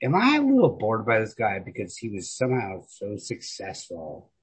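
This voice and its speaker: A man chatting casually as a podcast co-host, in an informal, profane, rambling and opinionated way, with a raspy, gravelly voice. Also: on the low side, huge pitch swings, normal speed. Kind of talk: casual literary chat.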